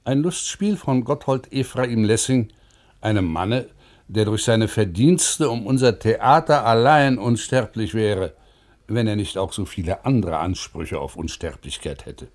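A man reciting verse, fables and old texts in a declamatory, measured 1950s German radio style. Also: fairly low pitch, darkish tone. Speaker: reciter in a literary radio programme.

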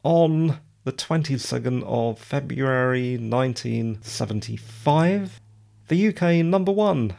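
A man with a slightly croaky voice, chatting casually and digressively as a podcast host. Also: medium pitch, a little mellow. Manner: chatty, digressive, self-deprecating. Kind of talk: casual solo podcast talk.

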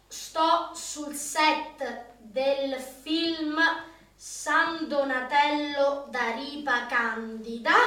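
A woman reads her diary aloud in a very slow, drawn-out, deadpan way, her voice high and bright. She sounds roomy and set back from the mic. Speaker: actress narrating her diary. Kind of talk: radio comedy monologue. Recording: roomy and distant.

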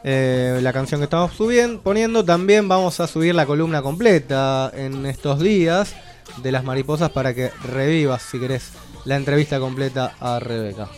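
A man's voice: mid-range voice, moderate pace, faint music behind. Chatty man co-host. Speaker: radio co-host. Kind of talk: radio program chat.

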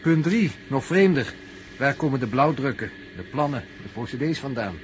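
A man's voice: mid-range voice, lively intonation, moderate pace, natural voice. An actor playing a logical, sceptical crewman in a 1960s Dutch radio drama, speaking in a methodical, precise way. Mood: reasoning, insistent. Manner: methodical, enumerating, pedantically precise. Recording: faintly noisy 1960s radio recording.

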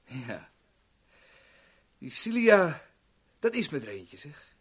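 Swooping, husky, cocky villain. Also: male voice, on the high side, huge pitch swings, fairly bright tone, exaggerated voice. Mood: gloating, taunting. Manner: taunting, theatrical, drawn-out phrasing. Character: cocky, sadistic male villain, insolent and gloating. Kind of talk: 1970s Dutch radio drama acting.

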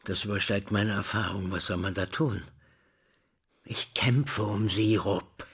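A man's voice: on the low side, steady tone, a little slow, a bit bright, breathy edge, full character voice. An actor playing a troubled older school director in a drama, stern, insistent and formal as he questions. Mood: pressing. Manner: insistent, interrogating, formal address. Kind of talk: German stage-style radio play dialogue.

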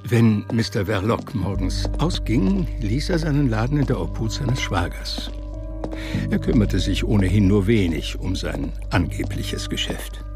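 A man's voice: fairly low pitch, animated, a little slow, music in the background. A man reading literary narration in a scripted radio drama.

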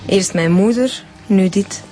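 An actress playing a bitter widow with a Flemish accent, confessing coldly in a radio drama. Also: female voice, mid-range voice, slow, soft background music, close to an everyday voice. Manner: calm, cold confession. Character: Annie, bitter widow who killed her husband.

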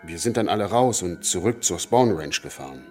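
A man in a cult of killers recounts his crimes in a radio play. His voice is fairly low and moves a lot in pitch, cool and factual, with music clearly behind. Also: expressive, fairly quick, darkish tone. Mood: matter-of-fact. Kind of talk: first-person radio play monologue. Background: clear music bed.

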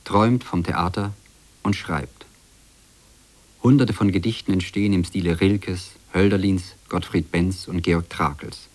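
A man narrates a documentary in a very low, steady voice. His delivery is calm, measured and matter-of-fact. It sounds like scripted radio narration.

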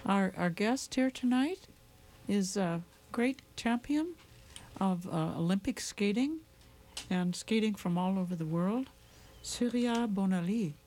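A woman hosts a community radio show in a mellow, dark-toned voice. She interviews her guest and appeals for pledges in a casual, encouraging manner. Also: medium pitch, slow, slight background noise. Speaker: radio host.

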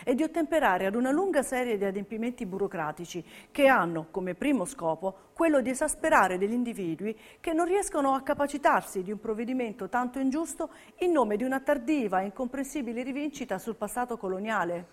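A woman with a fairly high voice narrates a documentary stage piece. Her delivery is scripted and measured, with every word clearly set out as she reads history and testimony. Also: animated.

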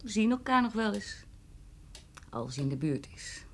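An actress playing a gentle, wistful woman in an intimate radio play, speaking in a hushed, halting way. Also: medium pitch, very animated. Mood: shy, wistful. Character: gentle, wistful adult woman consoling a teenage boy. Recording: radio play, faint noise.

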